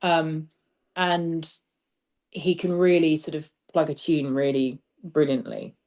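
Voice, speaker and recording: A woman's voice: low voice, level tone, slow pace, darkish tone. A woman speaking as a theatre director in a casual interview, thoughtful and discursive, letting phrases trail as she explains. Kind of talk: casual interview chat about stage work.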